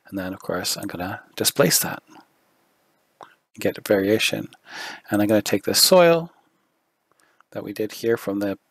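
Brief: man tutoring a 3D tool, low fry-edged voice, dry and close, hoarse, unhurried. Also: no echo. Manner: relaxed, thinking aloud with fillers. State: losing his voice, throat clearing. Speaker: 3D artist giving a tutorial. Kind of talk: screen-recorded software walkthrough.

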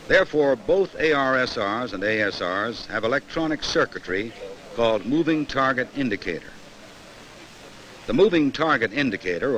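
An American man narrating a 1960s educational film in a measured, formal, explanatory way from a script. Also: fairly low pitch, bright, forceful tone, low-quality recording. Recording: hissy old 1960s film soundtrack.

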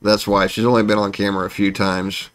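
Lowish-voiced guy in his 40s rambling about art projects, lots of uh and restarts, small home studio. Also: level tone, fairly quick, fairly bright tone. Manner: rambling, with many restarts and fillers, gestures while talking. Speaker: channel host and landscape painter. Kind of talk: casual sit-down channel update.

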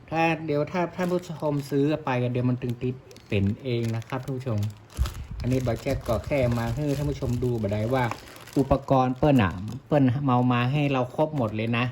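A man presents gear in a casual vlog style, with a mid-pitched voice and some ambient noise behind him. He rambles along unscripted, speaking directly to viewers and playing down his own expertise. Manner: rambling, self-deprecating, often addresses viewers. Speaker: vlogger demonstrating motorcycle gear. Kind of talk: casual unscripted product demonstration. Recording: clear ambient background noise.

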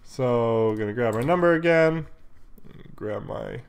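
A man's voice: medium pitch, lively intonation, a little slow, smooth. A young man narrating live coding casually, muttering absent-mindedly to himself as he types. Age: young adult. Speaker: programmer livestreamer. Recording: noisy room with keyboard clicks. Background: typing, clicks, faint noise.

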